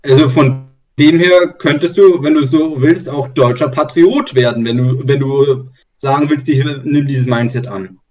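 A man's voice: mid-range voice, measured pace, hardly any pauses, a little mellow. A man in a casual online group chat who asks probing questions and uses lots of filler words. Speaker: discussion participant. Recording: distant, muffled call audio.

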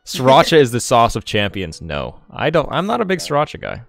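A man's voice: mid-range voice, wildly swooping pitch, fairly quick, vocal fry, soft background music. A man chatting casually as he hosts a game livestream, jokey and opinionated, on a close mic. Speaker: gaming streamer and host.

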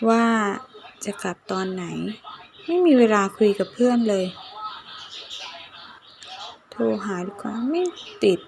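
A woman's voice: medium pitch, lively intonation, slow pace, gravelly, long pauses, darkish tone, a little hiss or hum. A woman voicing a lonely, jittery young job-hunter in a ghost-story cartoon, chattering to herself in casual exclamations. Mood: lonely, bored. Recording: music behind the voice.

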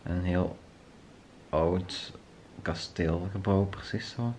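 A young man with a Flemish accent, chatting in a relaxed, teasing way from bed late at night. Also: low voice, takes it slowly, a little hiss or hum. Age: young adult. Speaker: vlogger. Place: hotel bedroom, lying in bed. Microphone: handheld camera at arm's length.

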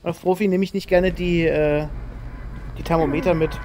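A man's voice: on the high side, fairly even intonation, normal speed, warm tone. Man, gaming streamer, casual chat, playful, faint background hiss. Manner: playful, calling questions to a ghost.

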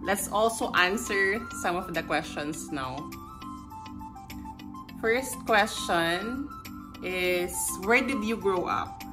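A woman's voice: mid-range voice, slow, pauses often, over music. A woman, chatting casually and rambling a little while doing her makeup for a vlog at home. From Philippines. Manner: rambling, friendly, self-correcting asides. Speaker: beauty vlogger. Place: bedroom at home, indoors. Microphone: camera in front at close range.

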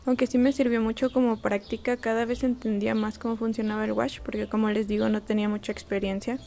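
Young woman artist, relaxed chatty voice-over, fairly high pitch. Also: quick pace, vocal fry. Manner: relaxed, chatty, self-deprecating. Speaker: artist narrating a painting process. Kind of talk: casual voice-over narration.

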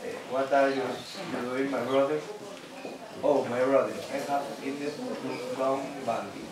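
A young man playing folk tale characters in a puppet show, reading the lines in a halting, stiff way. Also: medium pitch, steady tone, close to an everyday voice. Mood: earnest, stiff. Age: teenager or young adult. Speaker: student puppeteer. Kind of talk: stilted read-out puppet show dialogue. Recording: echoey room from far off, crowd noise.

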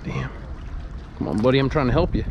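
A laid-back man talks to his viewers while fishing from a kayak in the wind. His voice sits at an ordinary pitch and mutters along as he works. Clear wind rush and water noise sit under his voice from the action camera. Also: a little fast, dull audio. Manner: laid-back, muttering to himself between catches. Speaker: fishing vlogger. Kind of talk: casual on-the-water narration. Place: kayak on open water under a bridge, windy. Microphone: head-mounted action camera.

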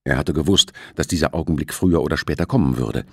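Male audiobook narrator, deep husky voice, very dry sound. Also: fast talker, a bit bright, no room sound. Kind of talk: scripted novel narration.

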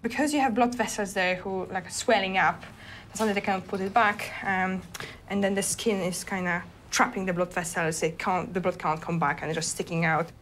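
A woman's voice: medium pitch, steady tone, normal speed, fairly bright tone. Young female vet, talkative explainer, recorded in a clinic exam room with light noise. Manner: chatty, explains step by step.

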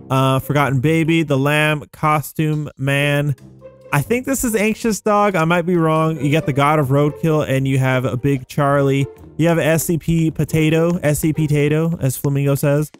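A man's voice: fairly high pitch, clear, resonant tone. A young man hosting a gaming video with casual, rambling commentary, thinking aloud in slangy language. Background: background music.

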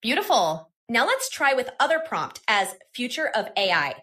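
Woman doing a software walkthrough, high bright voice, some music behind her. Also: very animated, normal speed. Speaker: software tutorial presenter. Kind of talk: scripted tutorial narration.

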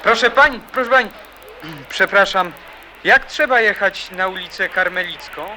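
A man's voice: high-pitched, lively intonation, moderate pace, pushed and bright, full character voice. A man playing an eager, naive teenage boy in a radio drama, questioning as he talks. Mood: unsure, urgent. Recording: faint city street noise.

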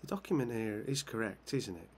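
An actor playing a measured, slightly hesitant male police chief questioning someone in a video game. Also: fairly low pitch, expressive, darkish tone, husky. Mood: measured, official. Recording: noisy with background ambience.

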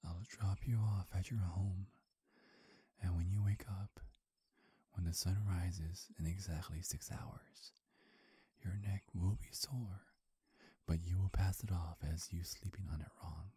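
Man acting as a seductive vampire, ASMR roleplay, dark mellow tone, very husky and breathy, intimate whispers, soothing menace. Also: deep voice, steady tone, no room sound, full character voice. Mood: possessive, hypnotic. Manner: slow, coaxing, softly menacing. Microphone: close microphone.

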